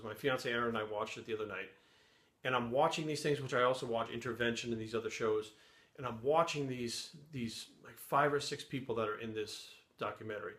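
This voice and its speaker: A man's voice: medium pitch, a bit bright, echoey room. A middle-aged man from Rhode Island talking earnestly to camera in a rambling, heartfelt way, often restarting phrases. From Rhode Island, USA. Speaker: fitness YouTuber. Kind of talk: earnest personal to-camera talk.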